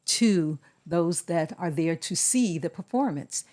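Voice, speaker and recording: Measured, low-voiced woman podcast host. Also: animated, darkish tone. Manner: measured, deliberate, advising. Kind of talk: casual conversation.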